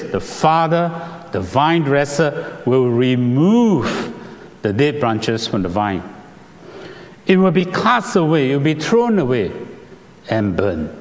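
A man with a Singaporean accent preaching a sermon, explaining scripture in a measured way with occasional fillers. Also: mid-range voice, big-room sound. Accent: Singaporean English.

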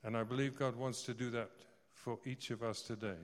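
A man's voice: mid-range voice, fairly even intonation, fairly quick. A man in his sixties preaching a sermon, telling stories with joking asides. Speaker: visiting preacher. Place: church service hall. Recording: long reverb of a large hall.

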